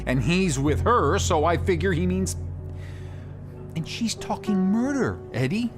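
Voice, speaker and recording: An actor playing an indignant, lovelorn ventriloquist in a noir audio drama, high-strung, fretful and exclaiming. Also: male voice, expressive, unhurried, music in the background. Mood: panicked.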